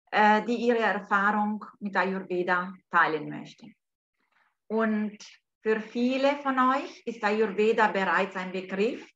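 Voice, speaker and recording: A woman from Italy with an Italian accent, hosting an online talk by video call from home, speaking slowly and hesitantly with many fillers. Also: mid-range voice, a bit bright. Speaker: host. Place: home room, indoors. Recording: dull lo-fi video call audio.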